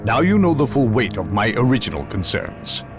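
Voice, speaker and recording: A man playing a severe, measured inquisitor in a video game cutscene, speaking formally and deliberately. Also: mid-range voice, sing-song, over music, natural voice. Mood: grave, contrite. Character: solemn male inquisitor, severe, measured and suspicious.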